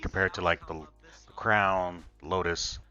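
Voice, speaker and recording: A polite, protective fantasy gentleman acted out by a man in improvised online roleplay. His voice sits on the low side, his wording is formal with casual asides, and the muffled voice chat has faint music behind it. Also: fairly even intonation, slow pace. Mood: explanatory.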